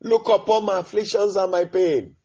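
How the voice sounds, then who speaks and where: A man leading an impassioned, pleading prayer, swinging between loud cries and low murmurs. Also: normal speed, lo-fi sound. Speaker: prayer leader. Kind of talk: fervent spoken prayer.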